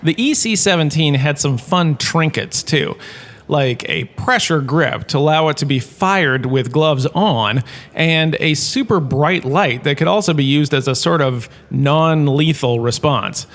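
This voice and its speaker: A man performs an enthusiastic male college lecturer in a comedic audio drama. His voice sits a bit high, and he talks in a chatty, showy way, like a former star who enjoys the podium. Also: lively intonation, unhurried, fairly bright tone. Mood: enthusiastic, informative. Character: washed-up holodrama star turned enthusiastic, quirky university lecturer.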